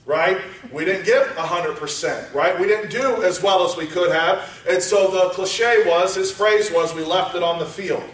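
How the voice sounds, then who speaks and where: A man preaching a sermon, moving from quiet teaching up to shouted exhortation. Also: high voice, normal speed, few pauses. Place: church sanctuary. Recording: echoey church hall, voice from far off.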